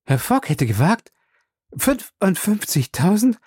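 A reader voicing an excitable, loyal male French manservant who talks in an indignant, emphatic way and is growing tipsy. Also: mid-range voice, slow, breathy edge, dry recording, studio quality, pitched above the performer's own voice, heavily acted.

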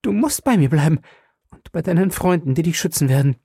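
A man's voice: expressive, a little fast, vocal fry, mellow, slightly rough, dry recording, clean studio sound, exaggerated voice. A man doing a sobbing young wife pleading. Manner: pleading in high cries.

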